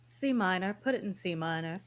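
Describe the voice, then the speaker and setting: A woman's voice: on the low side, expressive, a little fast, a little hiss or hum, natural voice. A woman playing a showy, scheming fairy godmother in a table read, with grand, showbiz announcing. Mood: theatrical.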